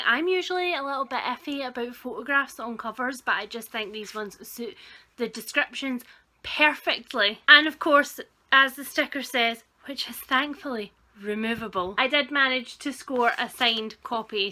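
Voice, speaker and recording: A young woman talks through her book haul in a chatty, enthusiastic way, her voice sitting a little high and sounding bright and forward. She is congested from a sinus problem, so there is a croaky edge to her voice. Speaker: book vlogger. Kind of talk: casual haul video.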